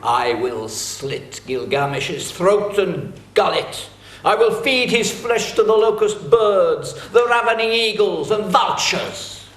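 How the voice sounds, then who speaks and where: A man's voice: high voice, lively intonation, takes it slowly, fairly bright tone, full character voice. A man playing a ferocious, scornful forest monster in a dramatic epic reading, taunting in declamatory style. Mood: menacing. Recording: roomy, echoing recording.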